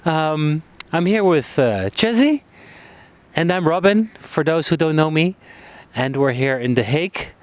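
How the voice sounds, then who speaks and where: A man hosting a podcast interview on an outdoor bench, friendly and hesitant, with many pauses and fillers. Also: on the high side, expressive. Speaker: podcast host. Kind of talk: casual interview.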